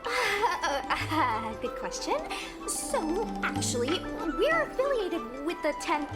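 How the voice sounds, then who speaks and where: An earnest young knight in an anime-style game. The voice is very high and animated, polite and eager, set over loud background music. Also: female voice, slow pace, heavily acted. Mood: flustered.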